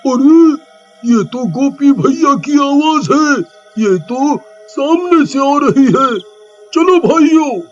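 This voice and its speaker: Man acting a very high-pitched, panicky villager. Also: lively intonation, takes it slowly, highs cut off, soft background music, heavily acted. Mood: worried. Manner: alarmed, exclaiming. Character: panicky, excitable village man scared of ghosts.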